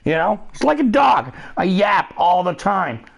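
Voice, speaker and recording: A man's voice: high voice, sing-song, unhurried, creaky. A middle-aged man chatting casually while gaming, profane and rambling, laughing often. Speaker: streamer.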